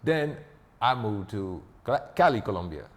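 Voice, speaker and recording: A man's voice: lowish voice, very animated, moderate pace. A man appearing as a podcast guest, telling stories in a confident, boastful way. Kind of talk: casual interview chat. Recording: long reverb.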